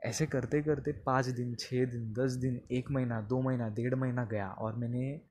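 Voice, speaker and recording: A man's voice: mid-range voice, fairly even intonation, moderate pace, a little mellow, breathy edge. A man hosting a podcast, telling a comedic personal story conversationally and slipping between languages. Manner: conversational, mixes Hindi with English phrases.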